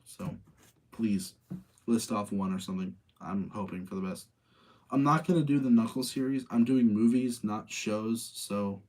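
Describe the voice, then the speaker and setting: A man's voice: lowish voice, measured pace, darkish tone. A tired man hosting a casual live rating session, chatting with his audience, rambling and thinking aloud as he gives his opinions. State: tired late at night.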